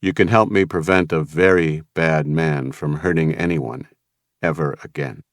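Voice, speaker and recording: A male narrator voicing a calm, capable man who speaks in an even, understated way. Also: extremely low pitch, measured pace, dry recording, clean studio sound.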